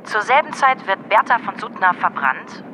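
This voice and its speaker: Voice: medium pitch, sing-song, normal speed, close to an everyday voice. A performer playing a chronicler in scripted dramatic narration, recorded with noisy urban ambience. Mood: matter-of-fact. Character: chronicler of wartime deaths.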